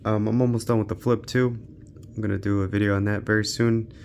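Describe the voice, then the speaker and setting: A man's voice: lowish voice, little pitch movement, fairly quick, gravelly, a little mellow, slight background noise. A man giving casual, unscripted gaming commentary as a channel host, thinking aloud in a laid-back way.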